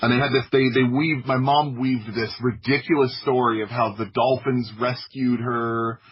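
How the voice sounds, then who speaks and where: A man from the United States telling personal stories casually as a podcast guest, in an informal, humorous way with a husky voice. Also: medium pitch, fairly even intonation, normal speed, lo-fi sound. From United States (Florida). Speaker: podcast guest, audio drama creator.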